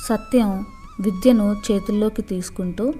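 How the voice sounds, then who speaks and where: A woman's voice: mid-range voice, moderate pace, warm tone, faint music behind. A woman reading a scripted moral story for children as a storyteller.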